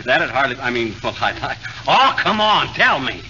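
An actor playing a boastful, easily flustered American husband with folksy bluster in 1940s radio comedy. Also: male voice, medium pitch, big pitch swings, normal speed, pushed and bright. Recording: noisy, muffled 1940 radio broadcast.

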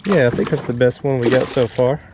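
A man's voice: medium pitch, brisk. Man narrating fishing from a boat. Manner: relaxed, talks to himself while fighting fish. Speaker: fishing vlogger. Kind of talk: casual running commentary. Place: small boat on a pond outdoors. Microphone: head-mounted action camera. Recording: outdoor action camera with clear background noise and reel clicks.

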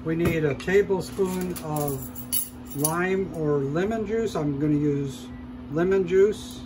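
A man hosting a cooking show, calmly explaining each step as he cooks in a shop demo kitchen. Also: a little high, slow pace, background noise. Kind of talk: casual cooking demonstration.

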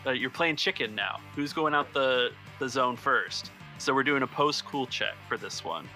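A man working as game master, narrating improvised scenes descriptively and a little haltingly. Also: mid-range voice, expressive, bright, forceful tone, soft background music.

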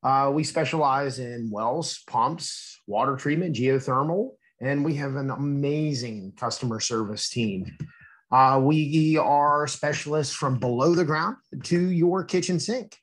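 A man's voice: medium pitch, measured pace. An American man reading a children's book aloud in a teacherly way, explaining and asking his young listeners questions.

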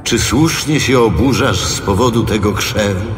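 A man playing God in an audio Bible drama, speaking in a solemn, commanding, measured manner. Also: lowish voice, natural voice. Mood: solemn questioning. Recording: long cavernous echo under loud music.

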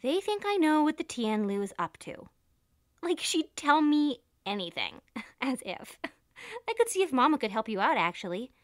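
A woman's voice: high-pitched, sing-song, normal speed, dry recording, studio quality. A woman playing a chatty, breezy young best friend, casual, bubbly and reassuring.

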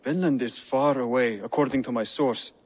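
A man playing a grave assassin advisor in a video game, speaking in a measured, formal way. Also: mid-range voice, slightly rough, natural voice. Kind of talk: video game cutscene acting. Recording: long hall-like reverb.

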